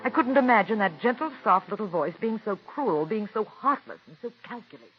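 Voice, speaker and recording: A woman's voice: mid-range voice, expressive, fairly quick, natural voice. An actress playing a married woman who narrates and confesses a petty trick against a star, in 1940s radio drama style. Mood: rueful. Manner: confiding, wry and self-reproaching in narration. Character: married woman, a theater star's friend, rueful and firm. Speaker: narrator and lead character. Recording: dull old radio broadcast with music.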